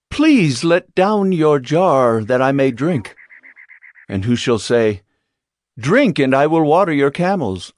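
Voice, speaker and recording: A man's voice: medium pitch, darkish tone, no echo, natural voice. Man acting devout servant, dry close sound. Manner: earnest, reverent. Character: devout, humble male servant of a patriarch. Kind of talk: dramatized scripture acting.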